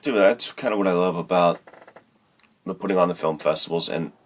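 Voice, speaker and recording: A man's voice: deep voice, brisk, slight background noise. A man co-hosting a podcast in casual, enthusiastic chat, using slang and an encouraging manner. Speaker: podcast host.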